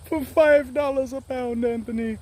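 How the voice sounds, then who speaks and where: Man putting on a high Jamaican woman's voice. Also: fairly even intonation, normal speed, warm tone. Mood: urging. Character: Jamaican woman mentor, kind and firm.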